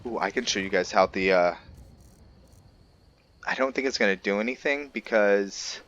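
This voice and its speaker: A man's voice: lowish voice, moderate pace, a bit bright, lo-fi sound. A man giving casual, rambling gameplay commentary, chatting informally to his viewers as the host. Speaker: gaming video host.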